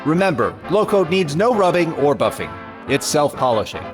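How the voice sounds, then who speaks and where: A man's voice: fairly high pitch, big pitch swings, moderate pace, over loud music. A man playing a polished, salesmanlike American announcer in a 1940s radio style. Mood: genial, persuasive. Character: genial 1940s radio pitchman.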